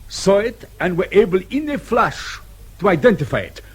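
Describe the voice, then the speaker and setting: An actor playing a suave, sceptical police colonel in a radio drama, formal, precise and sarcastic. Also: male voice, sits high, sing-song, normal speed, slightly rough, exaggerated voice. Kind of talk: British radio drama acting.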